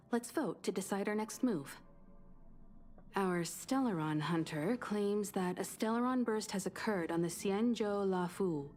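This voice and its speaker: A woman playing a composed, authoritative crew leader in an anime-style video game, formal and deliberate. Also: mid-range voice, a little mellow, lightly acted.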